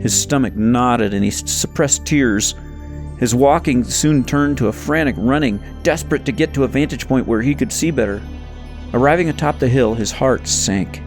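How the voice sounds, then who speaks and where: A man narrating a story from a script in an expressive, suspenseful, dramatic way. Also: medium pitch, moderate pace, faint music behind. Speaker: audiobook narrator reading own novel.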